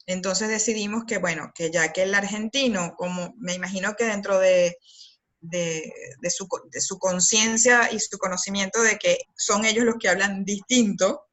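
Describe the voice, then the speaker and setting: A woman's voice: medium pitch, steady tone, moderate pace, a bit bright. A woman with a Venezuelan accent, a copywriter talking as an interview guest in a thoughtful, rambling way with many fillers and restarts. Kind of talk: conversational interview.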